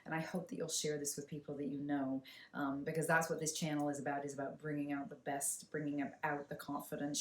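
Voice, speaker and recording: A woman in her forties hosting a casual interview, gushing and animated, breaking into gasps and laughs. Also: low-pitched, husky. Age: 40s. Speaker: podcast host. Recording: noisy background.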